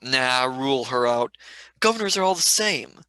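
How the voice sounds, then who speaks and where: Radio play: man as skeptical Cockney sidekick, cheeky, animated, bright tone. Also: mid-range voice, normal speed, clean tone, no echo. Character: cheeky, skeptical young Cockney male companion, slangy. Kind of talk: live script reading, radio play.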